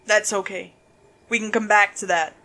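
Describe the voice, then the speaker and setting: A woman's voice: medium pitch, steady tone, sharp tone, soft background music. A woman voicing a weary, businesslike detective who questions a suspect firmly in a horror story. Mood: probing, businesslike. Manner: brisk, firm, probing. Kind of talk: dramatic horror story reading.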